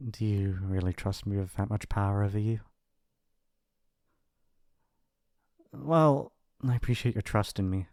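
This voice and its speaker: A man's voice: lowish voice, a little fast, a little mellow, no room sound, studio quality, natural voice. A man playing a shy, apologetic young mafia boss's son in an intimate ASMR roleplay, with a hesitant, rambling, awkward delivery. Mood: tentative, teasing.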